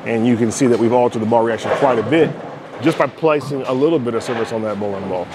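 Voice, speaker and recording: Male reviewer, casual explanatory demo talk, average pitch, clear background noise of a bowling alley. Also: fast talker. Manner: explanatory, many fillers and "right?" asides. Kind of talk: casual product demo commentary.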